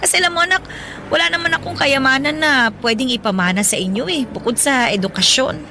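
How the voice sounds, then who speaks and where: A woman's voice: high-pitched, quick pace, sharp tone, slight background noise. An actress playing a hardworking, affectionate mother in her late thirties in a Filipino radio drama, colloquial and playfully indignant. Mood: earnest, affectionate. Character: hardworking, proud, affectionate 37-year-old mother driving a pedicab.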